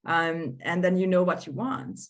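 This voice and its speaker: Co-host in her 30s, somewhat low voice, discursive, video-call chat. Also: flat delivery, moderate pace, dull audio. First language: Hungarian. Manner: discursive, thinks aloud with frequent restarts. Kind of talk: casual panel discussion.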